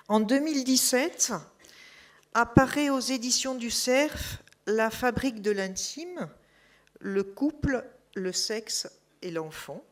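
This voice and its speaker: A woman hosting an evening lecture, formally introducing the guest speaker and reading his career from notes. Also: a little high. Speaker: event host introducing a speaker. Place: lecture hall.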